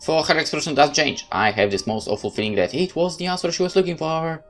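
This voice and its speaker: A man's voice: on the high side, animated, fairly bright tone, soft background music. A man giving a theatrical first-person reading of a horror visual novel. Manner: measured, theatrical narration. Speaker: game let's-play narrator.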